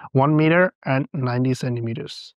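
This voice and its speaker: A man's voice: mid-range voice, a little mellow, no room sound, lo-fi sound. A young German man with a German accent, co-hosting a podcast, answering questions in a thoughtful, hesitant way and laughing often. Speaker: podcast co-host. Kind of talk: casual chat.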